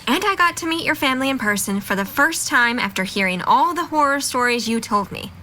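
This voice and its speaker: An actress playing a calm, frank young woman, a tactful friend in an audio drama, speaking in a measured way. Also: high-pitched, slight background noise. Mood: frank, composed. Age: young adult.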